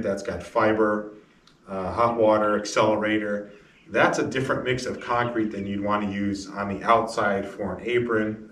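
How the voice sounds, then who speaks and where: A middle-aged man explaining a building project in casual, hesitant short phrases with frequent pauses. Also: on the low side, a bit bright. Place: pole barn interior and outdoors beside it. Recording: distant mic with faint ambient noise.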